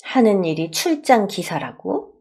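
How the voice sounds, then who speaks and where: Woman acting out a scornful, stern mother-in-law, lively pitch. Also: unhurried. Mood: haughty interrogation. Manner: condescending, blunt questioning. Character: stern, scornful middle-aged mother-in-law grilling a suitor.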